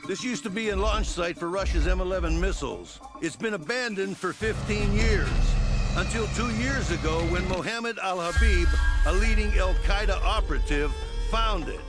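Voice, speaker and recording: Man acting a stern, high-pitched military mission briefer. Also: normal speed, lightly acted. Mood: stern, businesslike. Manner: clipped, authoritative. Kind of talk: video game cutscene acting. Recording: loud music behind voice.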